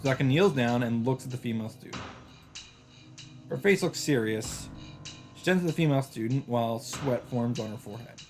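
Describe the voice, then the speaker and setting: Casting: male let's play host, medium pitch, informal dramatic read-aloud with asides, music clearly behind the voice. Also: lively intonation, moderate pace, darkish tone. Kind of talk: reading visual novel text aloud with asides. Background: visual novel soundtrack.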